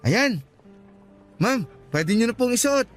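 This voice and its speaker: A man's voice: very high-pitched, sing-song, takes it slowly, a bit bright, soft background music, full character voice. A man playing a courteous, deferential young motorcycle taxi rider in a radio drama. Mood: polite.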